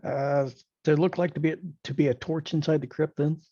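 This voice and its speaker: A man's voice: a little high, fairly even intonation, a little fast, mellow. A man playing a tabletop game, talking casually, heard on dull, muffled audio.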